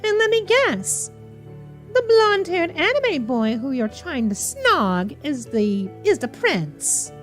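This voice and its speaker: A woman's voice: sits high, big pitch swings, takes it slowly, soft background music. A woman doing playful, theatrical commentary on a game as a streamer, with a teasing, sassy manner. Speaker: streamer reacting to visual novels. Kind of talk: playful gaming commentary with dialogue read aloud.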